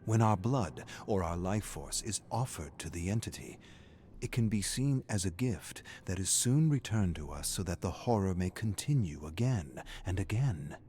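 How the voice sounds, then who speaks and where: Deep-voiced, brooding scholarly prisoner. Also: male voice, lively intonation, normal speed, mellow, a little hiss or hum. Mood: solemn, fascinated. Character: erudite, morbid male prisoner narrating his journal. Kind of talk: scripted dramatic game narration.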